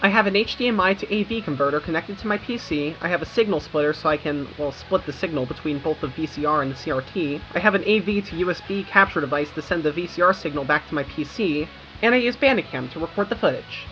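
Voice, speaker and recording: A woman's voice: low-pitched, quick pace, a bit bright, highs cut off. A young American woman narrating a scripted video essay with informal, wry, self-aware asides. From United States. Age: young adult. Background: background music.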